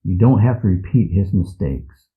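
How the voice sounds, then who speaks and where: An actor playing a kindly, steadfast old priest in a scripted Bible audio drama, speaking in a measured, earnest way. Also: male voice, sits low, animated, phone-quality sound. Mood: gentle, instructive. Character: kindly, steadfast old male priest guiding a child king.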